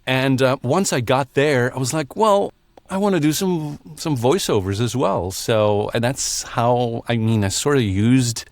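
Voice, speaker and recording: A man's voice: mid-range voice, measured pace, dry recording. A middle-aged man from Belgium, a voiceover artist chatting casually and laughing often from his small home recording booth. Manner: relaxed, chatty, laughs often, restarts sentences. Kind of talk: casual interview chat.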